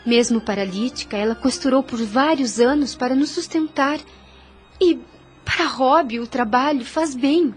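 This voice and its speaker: A woman playing a gentle, devout young woman in an audio drama, earnest and soothing. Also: high-pitched, measured pace, faint music behind.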